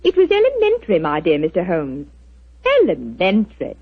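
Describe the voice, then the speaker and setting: An actress playing a shrewd, composed young wife in 1940s radio acting, poised and lightly teasing, over a dull old radio broadcast. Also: female voice, fairly low pitch, huge pitch swings, a little fast, husky, full character voice.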